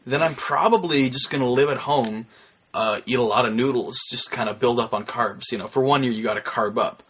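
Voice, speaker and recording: A man's voice: medium pitch, brisk, a bit bright, slightly rough. A young man chatting casually as a podcast co-host, rambling in a mock-earnest, foul-mouthed way. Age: young adult. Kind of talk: casual comedic chat.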